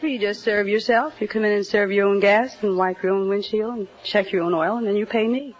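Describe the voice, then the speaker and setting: A woman's voice: medium pitch, steady tone, a little fast, heavy background noise. A woman in her twenties from Pennsylvania, a quiz contestant giving brief, matter-of-fact answers. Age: 20s. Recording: dull 1950s broadcast recording with loud studio audience.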